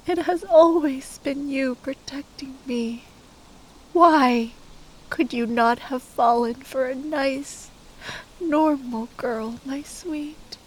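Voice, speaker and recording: Woman acting a slow, hushed, grieving young sorceress. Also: high voice, slight background noise, poor audio. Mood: anguished reproach, then tender grief. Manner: hushed, halting, often dropping into whispers. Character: grieving young sorceress ex-girlfriend at a bedside, tender and despairing. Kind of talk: intimate ASMR roleplay acting.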